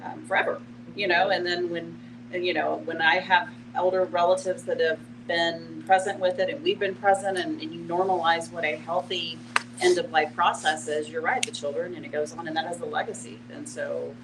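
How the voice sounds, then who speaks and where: A woman explaining things informally in a panel discussion, often pausing to add "you know". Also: lowish voice, level tone. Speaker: panelist on end-of-life care. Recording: noisy live room with hum.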